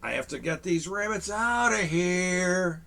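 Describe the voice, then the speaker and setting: A man's voice: high-pitched, expressive, a little slow, gravelly, bright, forceful tone, voice pushed up, exaggerated voice. A man reading aloud as a flustered male stage magician in a storybook. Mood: fretful.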